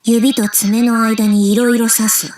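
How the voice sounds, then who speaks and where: A woman's voice: fairly high pitch, flat delivery, a little fast, darkish tone, a little hiss or hum, close to an everyday voice. A woman acting a weary, guarded young anime heroine. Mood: dry, threatening. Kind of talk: Japanese anime game voice acting.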